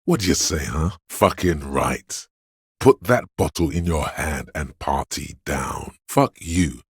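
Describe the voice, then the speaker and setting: Sleazy hedonistic inner voice of addiction, bottomless bass, gravelly and raspy, coaxing, theatrical, video game character. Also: male voice, slow pace, no echo, studio quality, exaggerated voice. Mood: urging, goading. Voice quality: gravelly, husky.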